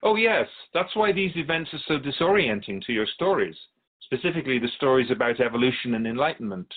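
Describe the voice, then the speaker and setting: A man playing a calm otherworldly being in a radio drama interview, explaining humanity to humans in a measured, knowing, didactic way. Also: medium pitch, phone-quality sound, lightly acted. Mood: calm, explanatory.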